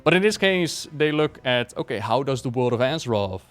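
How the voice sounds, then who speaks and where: Smooth-voiced man giving a casual review. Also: mid-range voice, lively intonation, brisk, faint music behind. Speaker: video creator reviewing a book. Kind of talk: casual, enthusiastic review chat.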